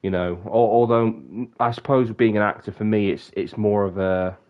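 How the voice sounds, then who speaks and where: Young man, very low voice, sniffly and stuffed up, rambling stream chat, dull lo-fi mic. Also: fairly even intonation, normal speed, clean tone. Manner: rambling, self-interrupting. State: bunged up, sniffly. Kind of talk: casual live-stream chat.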